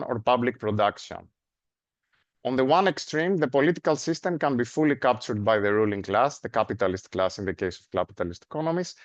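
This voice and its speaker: A man with a Greek accent delivers a seminar lecture in a measured, explanatory way, with frequent "uh" fillers and tag questions. His voice sits at a middle pitch for a man. The recording is dry and somewhat dull, with little room sound. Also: no echo.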